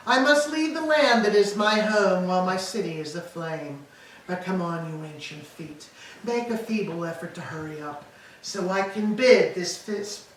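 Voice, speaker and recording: A woman's voice: medium pitch, natural voice. A woman performs an ancient, grief-stricken queen lamenting her burning city in a staged tragedy. Her declamation rings through a very reverberant hall, picked up from a distance with faint noise. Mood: sorrowful, weary. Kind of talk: staged reading of Greek tragedy. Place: church, live staged reading. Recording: echoey hall, distant mic, background noise.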